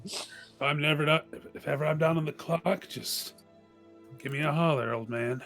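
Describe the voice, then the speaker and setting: A man's voice: on the high side, normal speed, fairly bright tone. A man playing a tabletop roleplaying game in a casual improvised style. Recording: music playing behind the voice. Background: background music.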